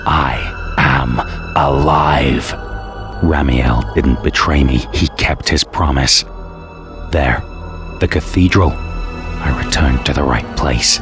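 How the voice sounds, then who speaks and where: A man's voice: takes it slowly, long pauses. An actor playing a damned, vengeful man in a video game, narrating his fate in an intense, brooding, theatrical way. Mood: grim awe. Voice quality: very deep voice. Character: damned, vengeful male antihero, bitter and doomed, recounting his fate. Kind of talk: dramatic first-person game cutscene narration. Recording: loud dramatic music behind.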